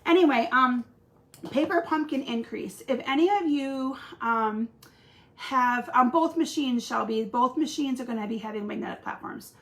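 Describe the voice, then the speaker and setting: A woman hosting a card-making video, chatting casually with viewers and pausing often with ums. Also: on the high side, fairly bright tone. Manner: chatty, talks to viewers by name, frequent ums. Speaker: stamping demonstrator and channel host. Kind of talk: casual crafting livestream chat.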